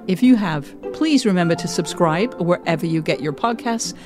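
A woman's voice: lowish voice, expressive, moderate pace, over music. A woman co-hosting a podcast, speaking in a conversational style. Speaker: podcast co-host.

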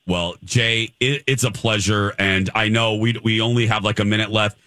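A man's voice: lowish voice, fairly even intonation, normal speed, sharp tone, no room sound, studio quality. A man hosting a radio show, chatting in a chummy, enthusiastic way with a guest. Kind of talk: upbeat radio interview and chat.

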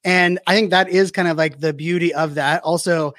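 A man's voice: a little high, steady tone, moderate pace, fairly bright tone, dry recording, clean studio sound. A man in his forties hosting a podcast, chatty and upbeat with lots of fillers and restarts. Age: 40s. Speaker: podcast host. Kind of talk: casual interview chat.

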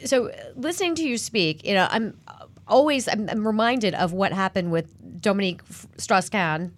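A woman host and business reporter leading a panel discussion, animated and asking questions. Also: mid-range voice, normal speed.

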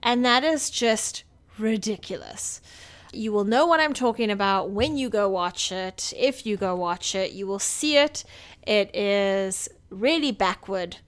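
A woman's voice: medium pitch, unhurried. A woman co-hosting a podcast, giving emphatic, opinionated takes in casual film review chat. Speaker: podcast co-host.

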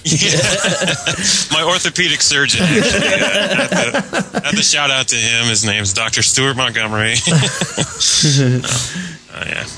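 A man's voice: a little high, measured pace, a crowd in the background. A man chatting casually as a guest on a podcast. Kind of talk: casual chat.

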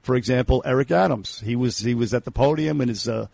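Raspy gravelly radio guy, blunt and rambly, lots of uh's, dry studio sound. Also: mid-range voice, fast, darkish tone, no room sound. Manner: blunt, rambling, with many fillers. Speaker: radio co-host. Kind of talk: opinionated talk radio chat.

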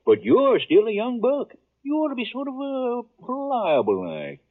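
An actor playing a chatty, nosy old storekeeper with a rural Western American accent in a 1950s radio Western. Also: male voice, very high voice, wildly swooping pitch, exaggerated voice. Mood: folksy, advising. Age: older. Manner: chatty, rambling, drawn-out folksy remarks. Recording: thin, muffled 1950s radio recording.

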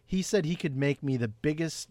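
A man co-hosting a casual podcast, excitable and rambling, with a fairly high voice. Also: normal speed, dry recording. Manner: excitable, rambling, talks in bursts. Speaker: podcast co-host.

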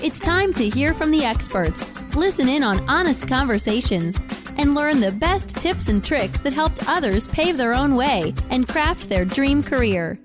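A woman's voice: high-pitched, lively intonation, unhurried. A woman reading a scripted podcast intro in an upbeat, polished announcer style. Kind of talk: scripted promotional intro narration. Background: music.

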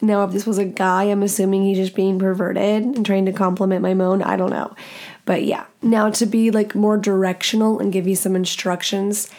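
A woman with a deep, nasal voice hosting a podcast in a blunt, profane, self-mocking casual monologue. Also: flat delivery, moderate pace, mellow. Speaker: podcast host.

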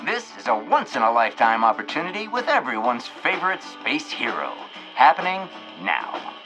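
An actor playing a showy small-town radio announcer delivering punchy bulletins. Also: male voice, mid-range voice, huge pitch swings, normal speed, over music.

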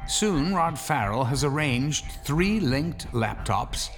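An actor serving as the dramatic narrator of a scripted radio horror tale. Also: male voice, mid-range voice, animated, slow, noisy background. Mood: suspenseful. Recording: long reverb with music behind.

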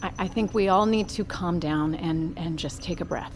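An actress playing an anxious, halting peacemaker who placates the others in an audio fiction drama. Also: female voice, on the low side, slight background noise, natural voice. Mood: placating. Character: anxious peacemaker woman calming a group.

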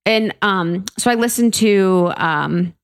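A woman's voice: mid-range voice, slow. A woman hosting a casual interview, enthusiastic, swearing casually, with lots of fillers. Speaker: podcast host.

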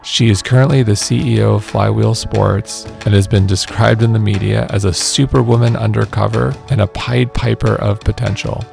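A man's voice: fairly low pitch, fairly even intonation, normal speed, creaky, few pauses, a little mellow, soft background music. A man hosting an interview podcast, enthusiastic and full of praise for his guest. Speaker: podcast host.

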